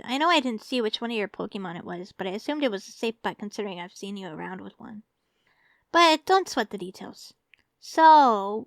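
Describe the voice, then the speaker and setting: Casting: actress as sweetly obsessive young female champion for ASMR roleplay; high voice, coaxing and teasing, close dry sound. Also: dry recording. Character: tall, doting, teasing young woman Pokemon champion, secretly obsessive.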